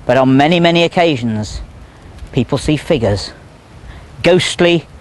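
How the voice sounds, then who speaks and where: A man with a slightly high voice works as a guide for a ghost documentary. He talks to camera in measured, short phrases, in a storytelling way. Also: expressive. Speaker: paranormal tour guide. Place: outdoors among castle ruins.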